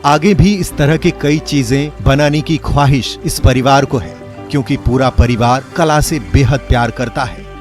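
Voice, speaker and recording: A man's voice: medium pitch, fairly even intonation, normal speed. A man reading scripted news feature narration as a voice-over. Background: loud background music.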